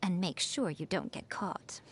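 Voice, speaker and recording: A sly, teasing fox spirit priestess. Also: female voice, on the low side, big pitch swings, a little slow, breathy edge, heavily acted. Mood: wry, instructive. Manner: playful, haughty, drawling, theatrical. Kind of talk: scripted video game character voice lines.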